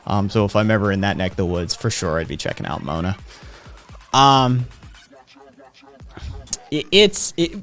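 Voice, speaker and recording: A man's voice: fairly low pitch, sing-song, normal speed, pauses often, fairly bright tone, soft background music. A man hosting a live-streamed talk show, speaking casually with many hesitations and answering viewers' comments. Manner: hesitant, many "um"s, reads chat aloud. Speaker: podcast host. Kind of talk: casual chat.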